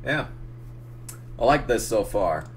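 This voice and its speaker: A young man losing his voice, casually narrating a photo edit, muttering and swearing at the software. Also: medium pitch, big pitch swings, takes it slowly, a little hiss or hum. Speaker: gaming channel host. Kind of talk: casual tutorial commentary while editing.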